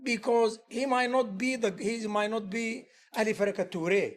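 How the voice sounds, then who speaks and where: High-voiced man talking shop as a musician. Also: steady tone, normal speed. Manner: earnest, rambling, loose grammar with many restarts. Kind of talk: casual workshop talk.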